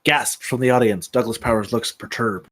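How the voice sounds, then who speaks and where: A man's voice: mid-range voice, steady tone, moderate pace, a bit bright, dry recording. A man reading out stage directions as narrator at a screenplay table read.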